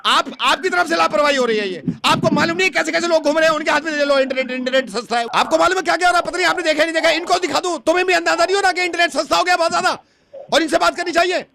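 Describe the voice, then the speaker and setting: A man's voice: very high voice, very fast, gravelly, bright, forceful tone, exaggerated voice. A man playing an exasperated older customer on a comic prank call, complaining insistently and repeating himself. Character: irate older customer complaining about cheap internet. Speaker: radio prank caller.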